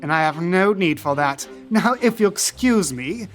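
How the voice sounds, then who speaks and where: A snobbish, wealthy gentleman trainer in a tabletop adventure podcast, acted out by the game master. He is pompous and dismissive, stretching his words to sound fancy. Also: medium pitch, lively intonation, soft background music, natural voice. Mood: haughty. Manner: pompous, drawn-out delivery. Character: haughty, fancy rich trainer, male, dismissive snob.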